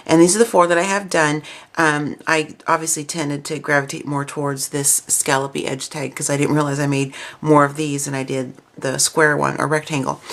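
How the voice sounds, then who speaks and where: A woman with a raspy, slightly cold-affected voice giving a casual, chatty craft demonstration. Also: sits low. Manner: chatty, informal, explanatory. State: coming down with a cold. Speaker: craft video host.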